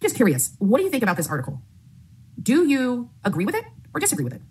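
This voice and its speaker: A woman's voice: on the high side, huge pitch swings, fast talker, darkish tone. A middle-aged woman hosting a vlog commentary, on a noisy played-back recording. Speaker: YouTube host.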